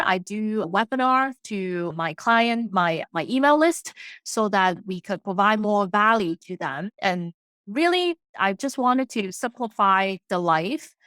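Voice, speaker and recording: A woman's voice: mid-range voice, a bit bright, clear, resonant tone, no room sound. A woman whose first language is not English, an accountant and author talking as a podcast guest, earnest and plain-spoken with frequent restarts. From immigrated to Canada in her late teens. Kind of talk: casual interview talk.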